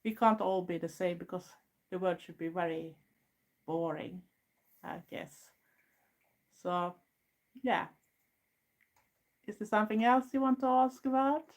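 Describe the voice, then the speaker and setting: Swedish woman in her fifties, lower-pitched voice, earnest and frank, casual live chat with viewers. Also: unhurried, long pauses. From Sweden. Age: 50s. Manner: frank and earnest, plain non-native phrasing. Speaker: goth YouTuber hosting a livestream.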